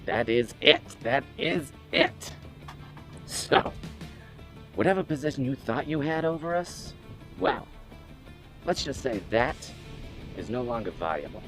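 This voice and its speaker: A man's voice: mid-range voice, very animated, slow pace, pauses often, a bit bright, faint music behind. A man playing a smug, menacing gang boss in an audio drama, taunting and condescending as he draws out his words. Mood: gloating. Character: smug, menacing male boss of a hit squad.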